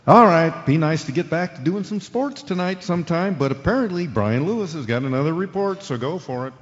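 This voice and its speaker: A man's voice: on the high side, lively intonation, a little fast, slight background noise, natural voice. An American man playing a cocky, impatient sports talk radio host in an audio drama, smug and showy. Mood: exasperated. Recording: dull lo-fi audio, long reverb, music.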